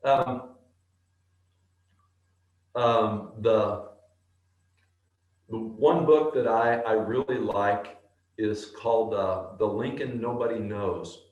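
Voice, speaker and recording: A male actor with a deep bass voice, answering interview questions slowly and thoughtfully, with many pauses and restarts. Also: a little mellow, echoey room, dull audio. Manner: slow, thoughtful, halting.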